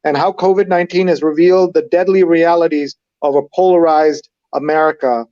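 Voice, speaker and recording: A man's voice: a little high, steady tone, brisk, warm tone, dry recording, highs cut off. A man hosting a live read-along, chatting enthusiastically and hopping between asides as he reads out and comments. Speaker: host of a live newspaper read-along show.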